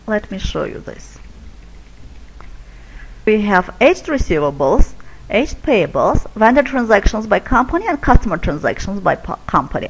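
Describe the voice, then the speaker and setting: A woman presents business software in a webinar, guiding listeners through each screen in a steady, step-by-step way. Her pitch rises and falls freely across sentences. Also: rough recording.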